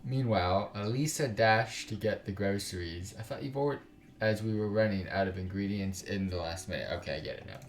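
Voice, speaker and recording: A man doing casual let's play commentary and reading game text aloud in a halting way, with exasperated, slangy, sweary asides. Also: lowish voice. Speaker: gaming YouTuber, let's play host. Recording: roomy sound with music underneath.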